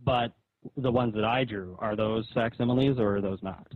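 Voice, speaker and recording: A man with a husky voice, arguing insistently and asking pointed questions in a phone conversation. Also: lowish voice, normal speed, no echo. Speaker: comic artist on a phone call. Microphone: phone call. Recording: narrow tinny phone line.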